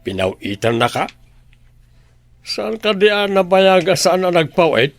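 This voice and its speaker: Casting: indignant older Filipino dad, radio drama, swooping delivery, raised register, dry studio. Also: male voice, high-pitched, sing-song, measured pace, creaky, no room sound, full character voice. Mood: probing. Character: indignant, proud older family patriarch. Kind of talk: Ilocano radio drama acting. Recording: dry studio with music underneath.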